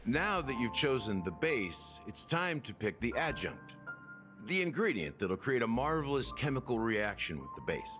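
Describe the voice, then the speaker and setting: An actor playing a laid-back middle-aged bartender in a game, speaking in a measured, casual, faintly theatrical way. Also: male voice, medium pitch, sing-song, lightly acted. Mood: easygoing. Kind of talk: English game voice acting. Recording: clean game dialogue over background music.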